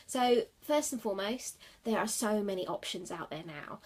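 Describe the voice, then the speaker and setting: A woman speaks in a husky, slightly breathy voice, sniffly from a winter cold. She talks in a loose, chatty way, full of fillers and asides, as she hands out wedding-planning tips. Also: medium pitch, animated, normal speed, a little mellow. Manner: chatty, rambling, many fillers. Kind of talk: casual livestream tips. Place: office at night.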